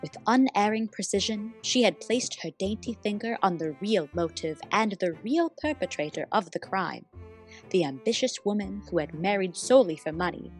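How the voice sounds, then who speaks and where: A woman playing a loyal, admiring assistant to a lady detective, narrating a period radio mystery in formal, expressive storytelling. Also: medium pitch, moderate pace, soft background music, lightly acted. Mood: dramatic, admiring.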